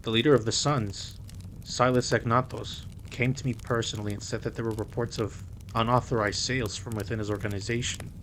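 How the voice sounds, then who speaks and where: A man playing a suave, playful mafia boss in an audio roleplay drama, with a teasing, smooth manner. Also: medium pitch, steady tone, fairly quick, fairly bright tone, slight background noise, lightly acted. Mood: serious, measured. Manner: teasing, smooth, code-switches into Spanish.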